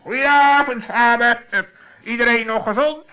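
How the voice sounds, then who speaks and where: A clever, rather smug raven in an extremely high voice. The delivery is dry and laconic, from a children's radio play with dull, lo-fi sound. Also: male voice, steady tone, moderate pace, clean tone. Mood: matter-of-fact. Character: shrewd, self-satisfied male raven, dry and matter-of-fact. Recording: dull lo-fi old radio recording.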